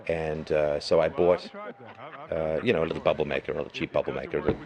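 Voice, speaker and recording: A middle-aged man speaks in a very low, hoarse voice and lectures emphatically in long spoken runs. His stressed points jump up in force. A crowd murmurs behind him. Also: steady tone, quick pace. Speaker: live stream host. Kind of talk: monologue commentary.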